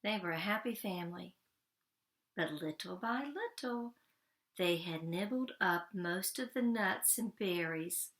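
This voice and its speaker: Slow, deliberate woman storyteller. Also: on the low side, expressive, a bit bright. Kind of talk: children's storybook narration.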